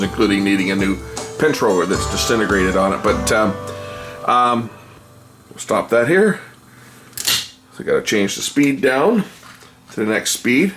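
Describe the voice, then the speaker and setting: Male hobbyist repair presenter, medium pitch. Unscripted, chatty workshop commentary that wanders as he thinks aloud, music clearly present. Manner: rambling, thinking aloud while working.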